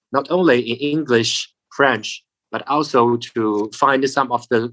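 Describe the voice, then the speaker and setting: A man's voice: medium pitch, fairly bright tone, poor audio. A man putting prepared questions to an author in an interview, setting them out methodically and rephrasing as he goes.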